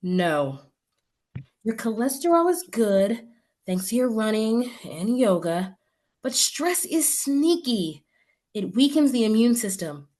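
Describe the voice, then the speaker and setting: A woman playing a frank, caring doctor in an audio play, matter-of-fact and deliberate. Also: on the high side, big pitch swings, a little mellow, full character voice. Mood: clinical. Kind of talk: community theatre audio play acting.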